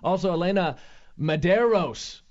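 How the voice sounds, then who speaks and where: A man's voice: high-pitched, lively intonation, unhurried. A man hosting a sports radio show, talking energetically with many fillers and restarts. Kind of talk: casual sports radio talk. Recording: lo-fi radio studio sound.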